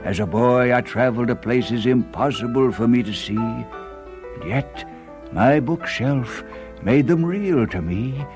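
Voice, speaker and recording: A man giving an earnest, measured scripted narration in a reflective storytelling style. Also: mid-range voice, animated. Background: music.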